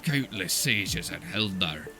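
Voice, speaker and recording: Proud dwarf mining chief acted by a man, very low and gruff, rustic turns of phrase, music behind. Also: expressive, slow pace, heavily acted. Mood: grave. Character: proud, gruff old-fashioned male dwarf chief miner. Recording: loud music under the voice.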